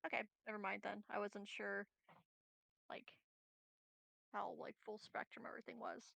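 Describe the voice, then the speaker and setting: Woman, tabletop player, bright creaky voice, even intonation, tentative casual chat, dry sound. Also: medium pitch, moderate pace, no room sound. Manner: hesitant, tentative.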